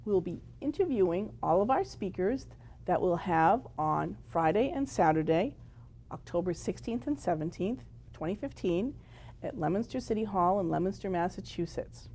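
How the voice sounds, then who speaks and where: A woman's voice: fairly low pitch, expressive, moderate pace, warm tone, a little hiss or hum. Woman hosting an interview show.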